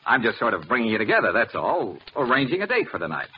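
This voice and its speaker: An actor playing a flustered, cajoling, fast-talking private investigator in old radio comedy style, stammering and exasperated. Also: male voice, medium pitch, wildly swooping pitch. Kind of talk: 1940s radio comedy acting. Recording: muffled, noisy old radio recording.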